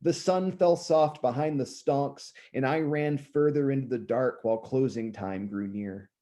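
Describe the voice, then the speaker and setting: Hoarse man with a dark, mellow voice. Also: mid-range voice. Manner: measured recitation, rambling chatty asides. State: hoarse, clearing his throat. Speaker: poet reading his own work. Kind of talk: poetry reading with casual asides.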